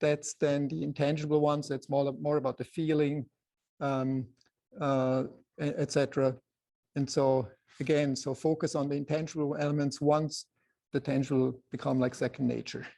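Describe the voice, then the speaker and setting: A soft-voiced man with a Swiss accent presenting an informal photography lecture to a group, in halting phrases with many pauses. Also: on the high side, little pitch movement, vocal fry. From Switzerland.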